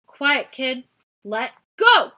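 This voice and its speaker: A woman's voice: medium pitch, moderate pace, a bit bright. A woman voicing a gangly, menacing male security guard who barks threats. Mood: angry. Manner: barking, threatening.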